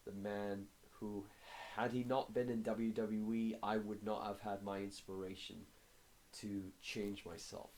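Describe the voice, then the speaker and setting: Hoarse guy w a cold doing a slow halting vlog update, lots of uhs. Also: fairly low pitch, little pitch movement, a little mellow, a little hiss or hum, low-quality recording. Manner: halting, many filler pauses. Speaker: fitness vlogger. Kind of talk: casual progress update to camera.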